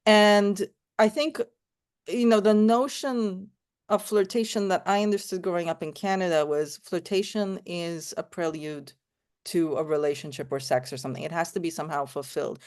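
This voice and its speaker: Low-voiced Romanian-born woman telling stories. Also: steady tone, moderate pace, darkish tone. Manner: thoughtful, storytelling, frequent "you know" and restarts. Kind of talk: casual panel discussion.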